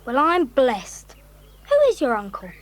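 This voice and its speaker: A woman playing a sensible, well-spoken older schoolboy, the calm leader in a children's audio drama. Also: sits high, unhurried, heavily acted. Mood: amazed.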